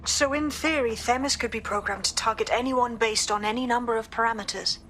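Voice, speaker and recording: Woman playing higher-voiced analytical operative. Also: fairly even intonation, a little fast, fairly bright tone, slight background noise, lightly acted. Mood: probing, concerned.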